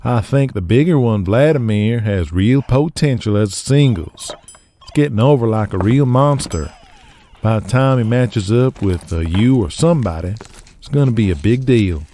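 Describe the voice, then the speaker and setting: A man's voice: mid-range voice, animated, normal speed, dark tone, slight background noise, natural voice. A man voicing a folksy, wry wrestler in an audio drama, teasing his friend. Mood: amused. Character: Denny, folksy, wry Southern wrestling pal.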